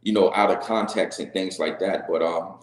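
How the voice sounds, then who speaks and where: Middle-aged man, deep voice, sincere guest, halting delivery, echoey room. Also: steady tone. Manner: earnest, repeats words and restarts, says "right?" often. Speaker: reality show guest. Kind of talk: candid interview answers.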